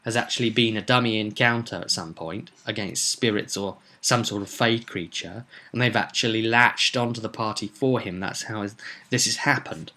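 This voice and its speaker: A man's voice: fairly low pitch, normal speed, sharp tone. A man giving an informal spoken recap as game master of a tabletop roleplay, explaining events with emphasis like a storyteller.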